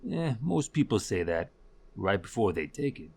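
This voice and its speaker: A man playing an eerily calm, cryptic stranger in a supernatural audio drama, speaking tersely with a deep, husky voice. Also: moderate pace, full character voice. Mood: calm, coaxing. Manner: terse, unruffled, cryptic delivery. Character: eerily calm, cryptic male stranger behind store counter.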